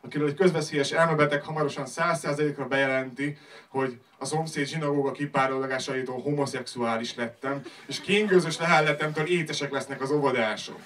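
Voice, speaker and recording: A man's voice: a little high, fairly even intonation, fairly bright tone. A man performing slam poetry on a club stage in a declamatory, rhythmic delivery. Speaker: slam poet. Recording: live club recording, distant from mic.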